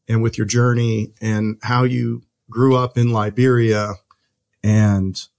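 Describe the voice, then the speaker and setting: A man hosting a podcast interview in a friendly, curious way, speaking with a few ums. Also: fairly low pitch. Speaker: podcast host. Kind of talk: conversational interview.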